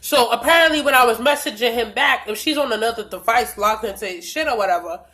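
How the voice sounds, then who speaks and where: A woman's voice: on the high side, fast talker, bright, forceful tone. Profane, blunt young woman telling a story. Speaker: vlogger. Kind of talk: storytime vlog.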